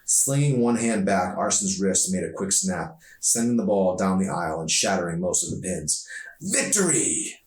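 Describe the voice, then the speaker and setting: Low-voiced man narrating a novel, echoey room. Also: moderate pace, hardly any pauses, darkish tone. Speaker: author reading own novel. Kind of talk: expressive audiobook narration. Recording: echoey room, distant mic.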